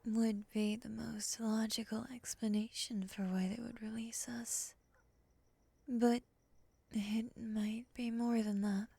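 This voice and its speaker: A woman playing a cool, skeptical moth monster woman, breathy and half-whispered, sardonic and condescending, in an ASMR roleplay. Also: fairly high pitch, flat delivery, takes it slowly, warm tone, no room sound, heavily acted. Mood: wary, thoughtful.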